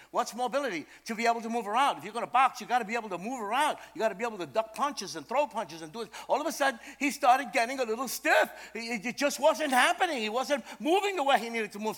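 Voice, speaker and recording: An older man from Brooklyn, preaching in a storytelling style, animated and emphatic, repeating phrases for effect. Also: very high voice. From Brooklyn, New York, USA. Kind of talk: storytelling sermon.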